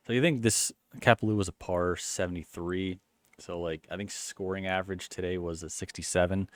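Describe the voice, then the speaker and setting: A man hosting a golf channel, giving a casual rambling recap in a flat, low-energy monotone full of fillers and restarts. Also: no echo.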